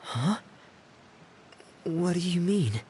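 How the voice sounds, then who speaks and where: A man voicing a shy, gloomy, apologetic young orphan in a video game, hesitant and soft-spoken. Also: sits high, animated, extremely slow, a little hiss or hum, heavily acted.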